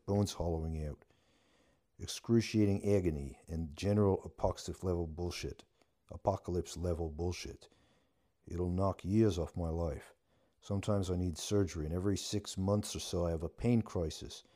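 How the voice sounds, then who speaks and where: A man's voice: sits low, warm tone. A man voicing a candid, resigned young man who is guarded but caring, in a storybook reading. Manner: frank, resigned, plain-spoken.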